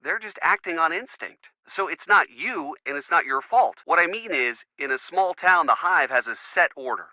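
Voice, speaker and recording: Upbeat, bright-voiced young American boyfriend. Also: male voice, fairly high pitch, moderate pace, hardly any pauses, no echo, highs cut off. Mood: earnest, excited. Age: young adult. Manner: jokey, animated, slangy. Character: upbeat, chatty young college quarterback boyfriend. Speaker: voice actor in a video game. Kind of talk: casual phone-call dialogue in an adventure game.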